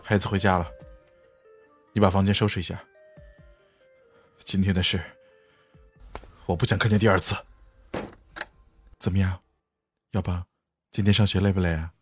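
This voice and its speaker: A man acting a husband and stepfather in a Chinese audio drama, who can be cutting or gentle. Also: low-pitched, level tone, normal speed, long pauses, breathy edge, faint music behind. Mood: doting, gentle. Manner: bitter and cutting to wife, gentle with child. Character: betrayed husband and doting stepfather, adult man.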